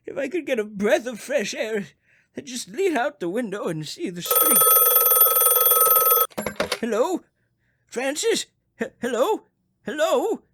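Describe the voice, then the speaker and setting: An actor playing a crotchety, nervous, bedridden invalid in a radio thriller, querulous and stammering, with a husky, strained voice. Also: mid-range voice, fairly bright tone, exaggerated voice. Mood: impatient, then frantic.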